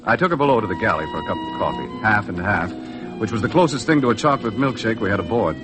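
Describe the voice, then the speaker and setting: An actor playing a laconic boat skipper with a dry, understated American delivery, in 1950s radio drama style. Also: male voice, lowish voice, animated, quick pace, over music, lightly acted. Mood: wistful narration. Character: laconic boat skipper narrating his own adventure. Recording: noisy vintage 1950s radio recording.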